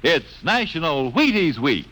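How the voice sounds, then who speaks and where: A man delivering folksy, chummy sales patter as a 1950s radio advertising pitchman. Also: very animated, a little slow, background noise.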